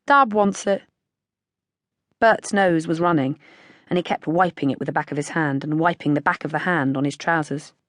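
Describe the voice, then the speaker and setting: A woman's voice: sits low, fairly even intonation, brisk, no room sound, studio quality. A woman with a British accent reading literary fiction as an audiobook narrator.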